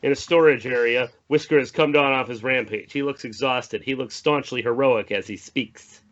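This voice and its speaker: A man's voice: medium pitch, steady tone, moderate pace, fairly bright tone, muffled recording. A man reading screenplay stage directions aloud as narrator at a table read.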